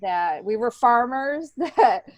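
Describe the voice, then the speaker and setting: A woman's voice: fairly high pitch, lively intonation, takes it slowly, darkish tone, no echo. A middle-aged American woman from upstate New York talks in a chatty, informal way as a podcast guest. She laughs easily, and the sound is dry with hardly any room in it. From upstate New York, United States. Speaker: podcast guest, stand-up comedian and writer. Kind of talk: casual interview chat.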